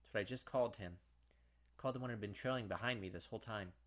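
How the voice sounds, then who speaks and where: A man's voice: on the low side, fast, a bit bright. A man hosting a let's play, reading visual novel narration aloud and adding casual commentary. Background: game music.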